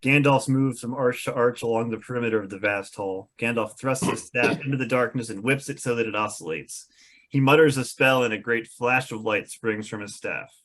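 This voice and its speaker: A man's voice: medium pitch, brisk, a bit bright. A man reading stage directions aloud as narrator at a script table read.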